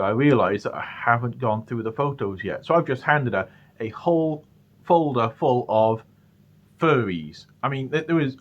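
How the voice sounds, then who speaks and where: Lower-pitched British man, halting storyteller. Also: fairly bright tone, dull audio. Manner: hesitant, stammering delivery with repeated words. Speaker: storyteller, channel host. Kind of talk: casual personal storytelling.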